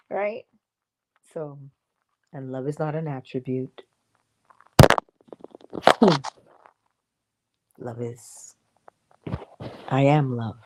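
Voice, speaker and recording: A woman hosting a casual morning livestream, chatty and playful, laughing often and breaking into sudden high exclamations. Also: wildly swooping pitch, very slow, pauses often, noisy background. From Barbados.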